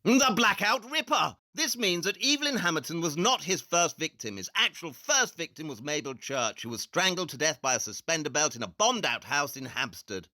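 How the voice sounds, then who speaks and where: Man playing sharp, high-voiced brusque detective. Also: sing-song, moderate pace, hardly any pauses, no echo, heavily acted. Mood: intense. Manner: sharp, emphatic, exasperated. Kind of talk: theatrical audio play acting.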